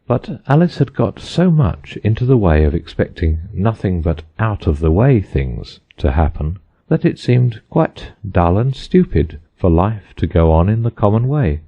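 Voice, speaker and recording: A man's voice: lowish voice, very animated, a little slow, few pauses, husky, highs cut off. A man reading a children's storybook as scripted audiobook narration. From United States.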